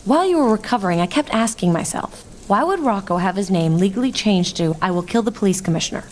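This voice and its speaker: A woman's voice: medium pitch, expressive, fast talker, a little hiss or hum, natural voice. A woman playing a skeptical, earnest newspaper reporter sidekick, matter-of-fact and wry, in a comic audio drama. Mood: earnest, urgent. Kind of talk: comic radio drama acting.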